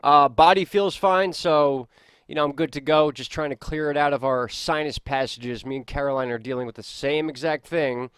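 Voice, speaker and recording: A man's voice: fairly high pitch, normal speed, fairly bright tone, smooth, no echo, studio quality. Congested man giving a chatty weather update. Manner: loose, chatty, many fillers, addresses viewers as guys. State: congested, stuffy sinuses. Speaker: weather and news channel host. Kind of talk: casual weather update vlog.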